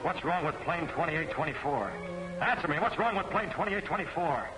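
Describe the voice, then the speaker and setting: An actor playing a relentless masked crimefighting hero in 1940s serial style, clipped and commanding. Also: male voice, a little high, lively intonation, moderate pace, close to an everyday voice, low-quality recording. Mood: stern, demanding. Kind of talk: 1940s film serial acting. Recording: old film soundtrack, loud music and engine.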